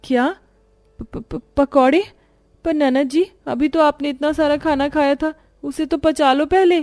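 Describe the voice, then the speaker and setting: A woman's voice: on the high side, normal speed, mellow, no room sound, studio quality, faint music behind, pitched above the performer's own voice, close to an everyday voice. A woman voicing a meek, overworked young wife who complains wearily about her in-laws. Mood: weary. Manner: pleading, weary complaining.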